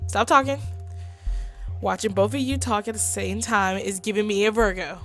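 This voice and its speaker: A woman's voice: medium pitch, fairly even intonation, moderate pace, a bit bright, soft background music. A woman voicing a suspicious, blunt girlfriend in a visual novel, incredulous and sweary. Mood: shocked, incredulous.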